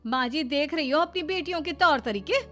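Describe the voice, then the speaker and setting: Woman acting a shrill, bossy cartoon sister-in-law. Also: animated, a little fast, soft background music. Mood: aggrieved complaining. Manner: sharp, nagging, sarcastic. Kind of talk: cartoon story character acting.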